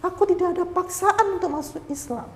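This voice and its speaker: A woman voicing a young woman figure in a children's story, speaking shrilly, fast and emphatically. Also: very high-pitched, voice pushed up. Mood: earnest. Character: upset then tender young woman Hindun. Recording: echoey hall reverb.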